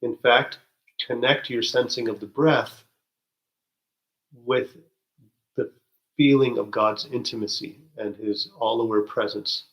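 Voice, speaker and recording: A man leading a guided spiritual meditation, speaking gently with soft halting restarts and long pauses. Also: mid-range voice, little pitch movement, low-quality recording.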